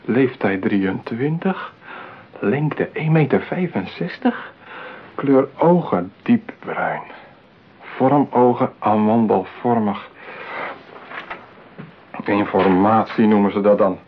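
A man's voice: fairly low pitch, animated, slow pace, long pauses. An actor in a radio play playing a lonely, possessive man besotted with his mechanical bride, talking theatrically. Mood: suspicious, scornful. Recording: tinny narrow-band radio play, clear hiss.

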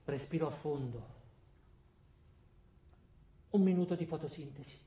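A man performing a comic first-person theatre monologue in short clipped phrases with dramatic pauses. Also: on the high side. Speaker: stage actor performing a monologue. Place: indoor theatre. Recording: roomy, echoey stage with background noise.

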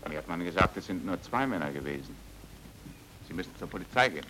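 Earnest amateur investigator, low voice, probing, urgent, old radio play, noisy recording. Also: male voice, animated, quick pace. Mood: indignant. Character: earnest, persistent male foreigner investigating a friend's death.

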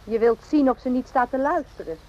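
An actress playing a wry, kindly army doctor in a radio drama, questioning and dryly teasing. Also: female voice, high voice, a little fast, mellow, lightly acted. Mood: concerned, probing. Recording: muffled old radio recording with hiss.

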